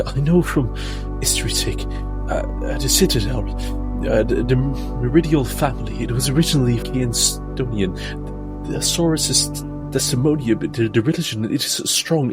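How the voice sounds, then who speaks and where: A man acts out a weary adventurer in a tabletop game, with a mid-pitched voice. He is pragmatic and careful, feeling his way through sentences earnestly over clear music. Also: natural voice. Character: weary, cautious male adventurer, protective and pragmatic. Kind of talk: in-character tabletop roleplay.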